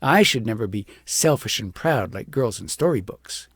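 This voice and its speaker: A man's voice: lowish voice, lively intonation, normal speed, a bit bright, breathy edge, no echo. A man voicing a vain, scheming, self-pitying young shepherd girl in a haughty, dramatic storybook manner. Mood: wistful, boastful. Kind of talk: acted audiobook storytelling.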